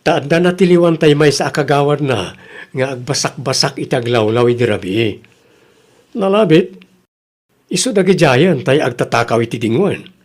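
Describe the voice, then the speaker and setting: A man's voice: mid-range voice, expressive, moderate pace, lightly acted. A man playing a hardworking, upright young farmer grandson in a radio drama, speaking earnestly with an Ilocano accent. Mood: puzzled, polite.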